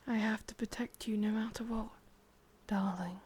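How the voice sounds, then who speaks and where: A woman with an English accent playing a sadistic, possessive witch in a whispered, breathy ASMR roleplay, commanding yet doting, with deliberate, intimate delivery. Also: medium pitch, steady tone, darkish tone, natural voice. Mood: coaxing, controlling.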